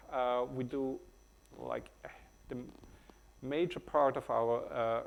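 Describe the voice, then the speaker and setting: A man from Austria with a German accent, presenting a technical talk at a conference in a halting way, with many hesitations and restarts. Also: medium pitch, slight background noise.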